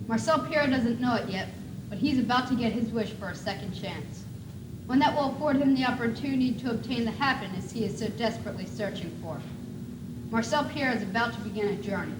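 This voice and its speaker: A woman's voice: on the high side, brisk, close to an everyday voice. A woman playing a solemn, mysterious narrator of an eerie tale, in mock-ominous scripted style. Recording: echoey, distant, noisy 1980s videotape.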